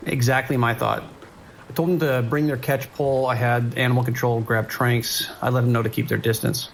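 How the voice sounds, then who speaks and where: Gravelly, measured American night dispatcher acted by a man. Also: mid-range voice, level tone, natural voice. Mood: concerned, measured. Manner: measured, procedural, hesitant pauses. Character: steady, weary male island sheriff's dispatcher on night shift. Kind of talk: naturalistic audio drama acting. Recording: faint noise, rain behind.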